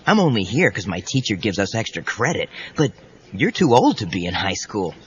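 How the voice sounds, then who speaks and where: A man's voice: lowish voice, very animated, normal speed. A man playing a bored, slangy teenage schoolboy who scoffs in disbelief, in an audio drama. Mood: bored, incredulous. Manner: slangy, incredulous. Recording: dull cassette with faint background noise.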